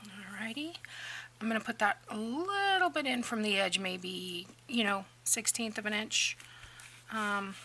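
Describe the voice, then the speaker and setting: A woman hosting a casual crafting tutorial, chatty and rambling, sometimes dropping to a near-whisper, her voice a little hoarse. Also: medium pitch, a little slow, sharp tone, a little hiss or hum. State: slightly hoarse, losing her voice. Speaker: craft channel host.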